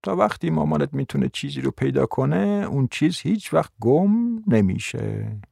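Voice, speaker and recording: A man's voice: mid-range voice, a little mellow, dry recording, clean studio sound, natural voice. A man voicing a controlled, bossy mother in a storybook, with a restrained manner. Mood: reassuring. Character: controlled, bossy, exasperated mother, pregnant adult woman.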